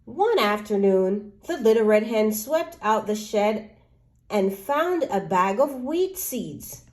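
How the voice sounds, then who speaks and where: A woman reading a children's story aloud as an expressive narrator. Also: medium pitch, takes it slowly.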